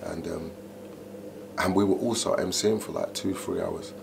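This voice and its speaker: Very low male voice, middle-aged, chatty and animated, clear background noise. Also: moderate pace. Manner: animated, repeats "you know" and "do you understand". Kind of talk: interview, casual storytelling.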